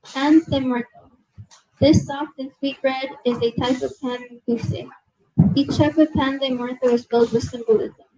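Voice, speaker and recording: A young woman giving a nervous museum presentation to an audience, reading from notes with many fillers and apologies, her soft low voice held away from a handheld mic indoors. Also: steady tone, moderate pace, poor audio. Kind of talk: reading prepared talk to a live audience. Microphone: handheld mic held at a distance.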